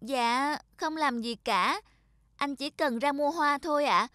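High-voiced little child. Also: female voice, takes it slowly, pushed and bright, no room sound, studio quality, heavily acted. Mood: shy, pleading. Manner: polite, pleading. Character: small earnest child waiting for mother, polite. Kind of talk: animated moral story dialogue. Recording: music behind the dialogue.

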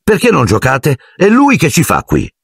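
A man's voice: fairly high pitch, huge pitch swings, normal speed, gravelly, breathy edge, dry recording, clean studio sound, voice pushed up, exaggerated voice. A man voicing a hot-headed schoolboy, blunt and indignant. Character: hot-headed red-haired schoolboy, quick to anger.

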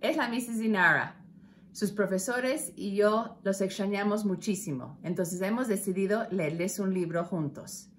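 A woman's voice: medium pitch, unhurried. A woman speaking to children on a home video as their teacher, introducing a story. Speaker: teacher introducing a read-aloud. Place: furnished room at home. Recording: faint background noise.

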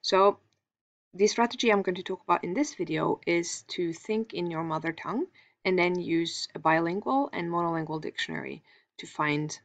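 A woman whose first language is Norwegian walks through a lesson in a calm, explanatory way, pausing with small fillers as she thinks aloud. Her voice sits on the low side. The recording sounds dull and a little lo-fi. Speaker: teacher.

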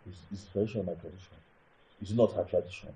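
An actor playing an aggrieved, entitled husband in a film drama, with a Nigerian accent and an indignant, repetitive manner full of rhetorical questions. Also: male voice, sits low, quick pace, dark tone, rough recording. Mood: sullen, aggrieved. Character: aggrieved, hot-tempered, entitled husband bound to tradition. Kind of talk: naturalistic film drama acting.

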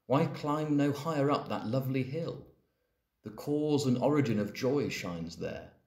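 A man's voice: medium pitch, lively intonation, a little slow, darkish tone. A man reading poetry aloud as a dramatic recitation. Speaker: poetry reader. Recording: echoey, reverberant room.

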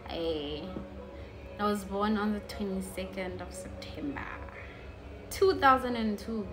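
Slow-talking young South African woman. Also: medium pitch, over music. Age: 20s. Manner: chatty, easily distracted, joking asides. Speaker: YouTuber. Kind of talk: casual chat to camera. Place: living room at home, indoors.

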